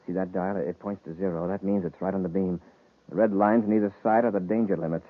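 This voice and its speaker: An actor playing a brave, decisive space patrol commander in a 1950s radio serial, giving clipped, authoritative orders. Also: male voice, sits low, steady tone, fast. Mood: steady, serious. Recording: noisy, tinny 1950s radio recording.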